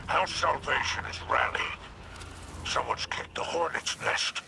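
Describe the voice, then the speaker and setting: A man playing a haughty, shrewd male alien crime boss in a video game, gravelly and growling, with a clipped, imperious manner. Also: on the high side, measured pace, noisy background. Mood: grudging, shrewd. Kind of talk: video game mission dialogue. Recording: very loud music behind.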